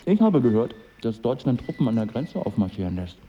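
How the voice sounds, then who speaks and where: An actor playing a polite, stubborn knight in a radio drama, with a courtly, formal manner. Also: male voice, medium pitch, very animated, brisk, lo-fi sound, a little hiss or hum, lightly acted. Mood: courteous.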